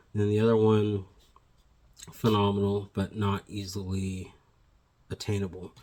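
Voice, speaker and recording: A man's voice: lowish voice, darkish tone. A man with a slightly sniffly voice, a plant shop owner chatting casually to viewers, wandering and correcting himself as he goes. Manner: rambling, self-correcting, friendly address to viewers. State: sniffly from allergies. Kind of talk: casual how-to vlog. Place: indoors at home among plants. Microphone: phone camera mic.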